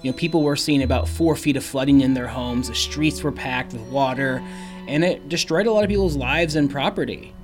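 A man's voice: mid-range voice, a little fast, music in the background. A young adult male reporter explaining a local story in a casual way, with some fillers. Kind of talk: radio news feature narration.

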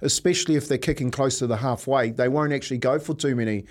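A man's voice: medium pitch, quick pace. A man giving casual banter and analysis as a sports radio pundit.

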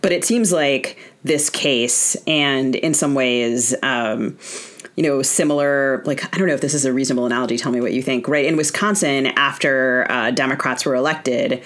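A woman's voice: extremely low pitch, moderate pace, a bit bright. A woman hosting a conversational interview, talking in a thoughtful, tentative way. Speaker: podcast host.

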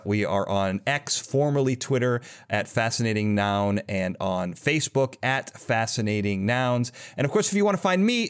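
A man's voice: medium pitch, smooth. A man hosting a podcast in an effusive, talkative way, chatting and plugging the show. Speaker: podcast host. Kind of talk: chatty interview and sign-off plugs.

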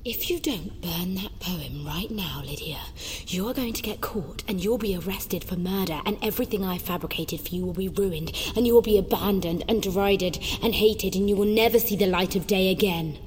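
A woman's voice: medium pitch, a little hiss or hum, close to an everyday voice. Woman acting as a controlling, menacing inner voice. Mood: menacing, contemptuous. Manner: commanding, hushed, slow deliberate threats dropping to whisper. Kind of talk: audio drama acting.

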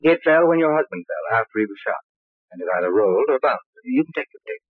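Hardboiled inspector, male actor, brusque and unflappable, husky edge, tinny vintage radio crime serial. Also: mid-range voice, lively intonation, quick pace, dry recording, natural voice. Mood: dry, unflappable. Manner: curt, dry, commanding. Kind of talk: 1940s radio crime drama acting. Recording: dry, tinny old radio recording.

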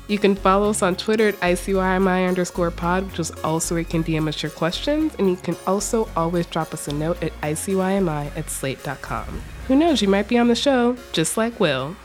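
A woman hosting a podcast in a casual, conversational style, informal and effusive. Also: medium pitch. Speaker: podcast host.

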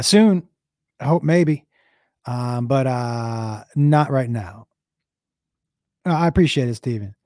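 A man's voice: mid-range voice, dark tone, no room sound, clean studio sound. Scratchy-voiced man hosting a chat. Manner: rambling, halting, many restarts. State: hoarse, losing his voice. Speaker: host. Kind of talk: casual podcast chat.

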